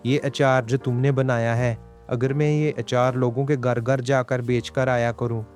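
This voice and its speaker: A man voicing an earnest, humble village farmer and husband in a Hindi cartoon story, with expressive delivery. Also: medium pitch, unhurried, natural voice. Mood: cheerful, eager. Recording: background music under the voice.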